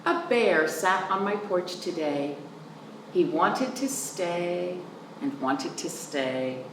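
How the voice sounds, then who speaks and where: A woman reads a storybook for young children, swinging widely in pitch and stretching words in a sing-song way. Her pace is unhurried. She is recorded a little way from the mic in an echoey room. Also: lively intonation. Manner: pauses to explain words simply to children. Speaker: children's librarian, storytime reader. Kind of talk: expressive read-aloud storytime for young children. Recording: roomy echo, distant mic, faint noise.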